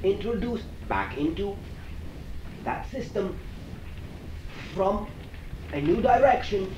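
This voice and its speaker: An assured alien teacher channeled by a man speaks slowly, in deliberate, halting phrases. The pitch swings widely and the wording is formal and stilted. It comes from far off on an echoey old cassette. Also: lively intonation, warm tone. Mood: solemn, instructive. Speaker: channeler answering audience questions. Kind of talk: channeling session, question and answer. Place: echoey room, recorded from afar. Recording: echoey, noisy old cassette, distant mic.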